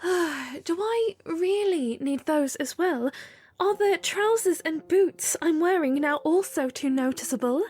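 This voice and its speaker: A woman playing an earnest, gentle young princess with polite, hesitant phrasing in a fan audio drama. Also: very high-pitched, expressive, slow pace, full character voice. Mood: earnest, reluctant. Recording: music under the voice.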